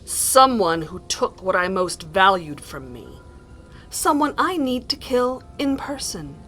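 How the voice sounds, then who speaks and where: A woman's voice: mid-range voice, very animated, slow pace, sharp tone, soft background music, full character voice. A woman playing a vengeful, doting sorceress in a fantasy audio drama, theatrical and sweetly sinister. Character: vengeful, doting evil sorceress who forges cursed weapons.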